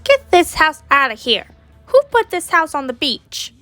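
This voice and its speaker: A woman's voice: high-pitched, big pitch swings, measured pace, a bit bright, soft background music, voice pushed up, heavily acted. A young woman voicing a bossy, scoffing contest judge in a picture book, blustery and emphatic. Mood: bossy, outraged.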